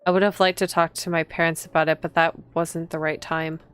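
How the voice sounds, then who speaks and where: A woman's voice: low voice, little pitch movement, brisk, fairly bright tone, smooth, soft background music. A woman reading visual novel text aloud as a streamer, expressively, with casual reactions to the story.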